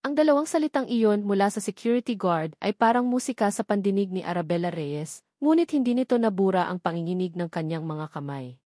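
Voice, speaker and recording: A woman's voice: mid-range voice, expressive, fairly quick, dark tone, dry recording, clean studio sound. A woman reading a scripted romantic drama story as its narrator.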